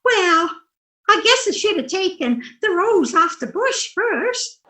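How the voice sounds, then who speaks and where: A woman's voice: extremely high pitch, big pitch swings, slow, sharp tone, heavily acted. A woman playing a giggly, scatterbrained and innocent wife in a 1940s-style radio comedy, recorded in an echoey room. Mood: amused, innocent. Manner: giggly, innocent, laughing through lines. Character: Gracie, giggly scatterbrained wife, sweetly oblivious.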